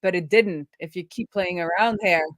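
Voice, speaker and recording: A woman's voice: fairly low pitch, normal speed, dry recording. A woman hosting a live coding stream in casual chat, informally thinking aloud as she explains. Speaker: live stream host, web developer.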